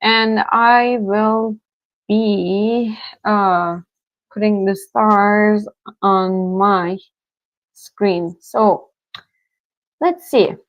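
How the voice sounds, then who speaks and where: Brief: woman, unhurried, easygoing livestream host, dry close sound. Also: mid-range voice, dry recording, highs cut off. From Turkey. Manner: slow, relaxed, chatty with viewers, soft laughs. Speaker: tarot reader streaming live. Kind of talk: casual livestream chat with viewers.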